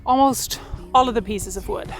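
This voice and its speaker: A woman's voice: a little high, moderate pace, music in the background. A woman, chatting casually and upbeat while vlogging outdoors by a cabin. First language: Swedish. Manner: chatty, upbeat, light laughs. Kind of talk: casual vlog narration.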